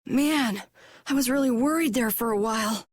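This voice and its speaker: An actress playing an eager, nervous young boy adventurer in a video game, with a hesitant, excitable, boyish delivery. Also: on the high side, moderate pace, dry recording, lightly acted. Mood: anxious, earnest. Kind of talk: video game cutscene acting.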